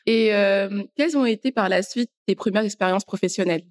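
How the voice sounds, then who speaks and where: A woman hosting a podcast, upbeat and clear as she introduces and asks questions. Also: mid-range voice, fairly quick. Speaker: podcast host. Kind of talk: scripted intro then interview questions.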